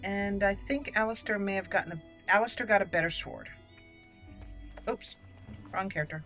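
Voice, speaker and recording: A woman's voice: medium pitch, normal speed, fairly bright tone. A woman giving casual, chatty gaming commentary, thinking aloud and exclaiming as she plays. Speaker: gameplay video host. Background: game music.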